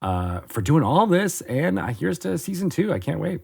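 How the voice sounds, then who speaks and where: A man's voice: on the high side, sing-song, moderate pace, vocal fry, darkish tone, slightly rough. A man co-hosting a podcast, upbeat and rambling as he wraps up the interview. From former theater major. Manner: upbeat, rambling, many fillers. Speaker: podcast co-host. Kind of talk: casual podcast chat.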